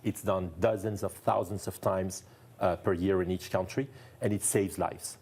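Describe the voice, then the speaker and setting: A man from France speaks English with a French accent, his voice deep and mellow. He talks as a guest doctor in a TV studio interview, earnest and enthusiastic, often repeating a phrase to stress it. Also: steady tone, normal speed. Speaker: neurologist guest.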